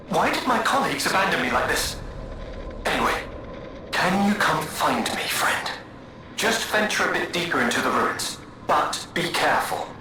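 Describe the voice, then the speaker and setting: A vain, theatrical alchemist from a fantasy game. His voice is on the high side and swoops expressively, full of flattery and playful self-pity. It rings with heavy echo over loud background noise. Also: full character voice. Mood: smooth, coaxing. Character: vain, theatrical, charming male alchemist speaking through puppets. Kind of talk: dramatic video game dialogue.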